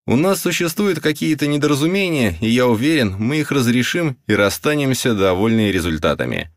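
A man voicing a calm, self-assured, composed military intelligence captain in an audiobook. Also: medium pitch, no echo, clean studio sound, pitched above the performer's own voice. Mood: reassuring. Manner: composed, reasoning, measured. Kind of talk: audiobook character voice.

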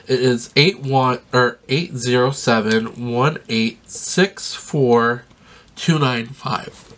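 A sniffly young man with a medium-pitched voice talks enthusiastically through an unboxing, rambling and dropping plenty of ums. He speaks close to the camera in a small bedroom and sounds a little stuffed up from a sinus infection. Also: takes it slowly, a bit bright, poor audio. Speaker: vlogger. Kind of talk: casual unboxing vlog.

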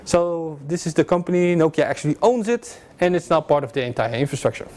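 A man's voice: a little high, expressive, fast talker, darkish tone. A young adult man giving an informal security lecture to a class, joking with many fillers and restarts, recorded by a far camera in a classroom. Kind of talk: informal technical lecture with slides. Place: classroom with projector screen.

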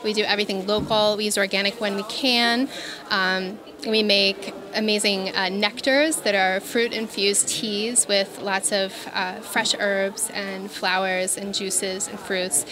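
A woman's voice: mid-range voice, flat delivery, measured pace, noisy background. A woman in her thirties, a food vendor, answering in an interview. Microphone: handheld interview microphone. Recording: busy market crowd behind.

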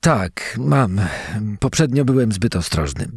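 A man's voice: mid-range voice, expressive, vocal fry, slightly rough, no room sound, clean studio sound, heavily acted. An actor playing a hesitant male quiz show contestant who thinks aloud in a dramatized true-crime podcast. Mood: confident. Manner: halting, thinking aloud, drawn-out pauses.

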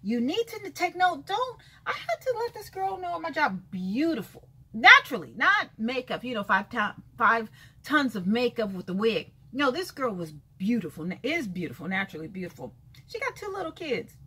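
A 51-year-old woman vlogging a sassy, emphatic rant from a bright room with books, repeating lines for effect and calling listeners baby and honey, gesturing as she talks. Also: fairly high pitch, moderate pace, slightly rough. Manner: lively hand gestures while talking. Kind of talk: casual talking-head rant. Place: bright room with shelves of books by a window, indoors.

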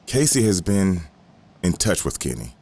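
A man playing a somber, courteous gentleman in audio drama dialogue. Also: sits low, big pitch swings, measured pace, creaky, breathy edge, heavily acted.

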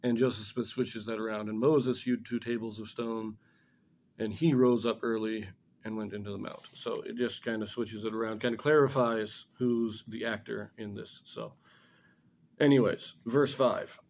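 A middle-aged man giving an informal religious lesson as a scripture commentator, explaining things deliberately and correcting himself as he goes. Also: lowish voice, flat delivery, a little mellow, slight background noise. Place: small indoor room in front of a blackboard.

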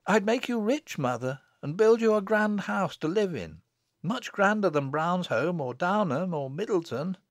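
A cheerful young village woman from an audiobook tale, played by a man. The voice is lifted high and light, with lively melody, and sounds eager, kindly and a little dreamy. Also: measured pace, dry recording, clean studio sound.

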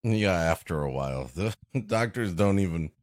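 A man's voice: sits low, expressive, moderate pace, dry recording, clean studio sound. A man co-hosting a comedy podcast with joking, riffing banter. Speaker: podcast co-host.

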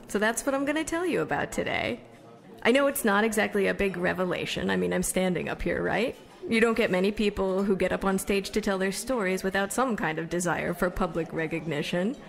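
A woman's voice: medium pitch, lightly acted. Actress as chatty young American woman, fame-hungry storyteller. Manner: chatty, self-deprecating, casual asides. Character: chatty young Somerville woman set on becoming famous. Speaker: actor in an audio drama. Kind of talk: conversational stage storytelling monologue.

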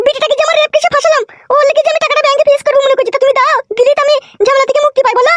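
A performer voicing a nagging, suspicious village woman in a comedy cartoon, scolding and questioning in a pitch-raised voice. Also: level tone, very fast, fairly bright tone, dry recording, clean studio sound. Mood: demanding. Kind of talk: amateur comedy cartoon dialogue.

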